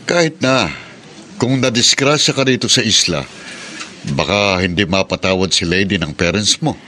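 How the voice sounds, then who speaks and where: A man's voice: lowish voice, animated, moderate pace, bright, forceful tone, a little hiss or hum. A man playing a stern, worried middle-aged father in a radio drama, scolding and emphatic. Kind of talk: radio horror drama acting.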